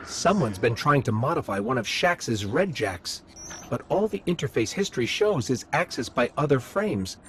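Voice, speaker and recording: A man's voice: medium pitch, big pitch swings, moderate pace, fairly bright tone, slight background noise. A man voicing a small floating robot companion in a video game, curious and dry, musing aloud with wry asides. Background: game music.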